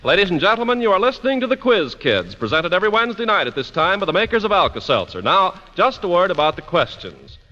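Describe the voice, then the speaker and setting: A man delivers a 1940s radio announcement in a bright, rather high and lively voice. His pitch is polished and emphatic, and the old recording is dull with a long hall reverb. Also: fast talker, few pauses, soft background music.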